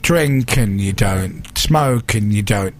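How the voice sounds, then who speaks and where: A man hosting a radio chat, jokey and halting, often restarting his sentences as he asks questions. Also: on the low side, expressive. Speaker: radio host. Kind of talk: casual chat show.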